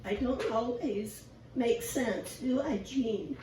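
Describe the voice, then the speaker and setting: Squeaky, raspy woman preaching slowly. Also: far from the mic. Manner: chatty, self-deprecating, asks the congregation questions. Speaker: lay preacher. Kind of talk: informal sermon. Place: church sanctuary. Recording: echoey church from afar, faint hiss.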